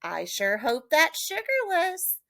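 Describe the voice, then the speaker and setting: A woman's voice: high voice, wildly swooping pitch, slow pace, creaky, fairly bright tone, no echo, studio quality, pitched above the performer's own voice, full character voice. A woman voicing a polite but insistent busybody mother in a storybook, sugary, firm and meddling. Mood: disapproving.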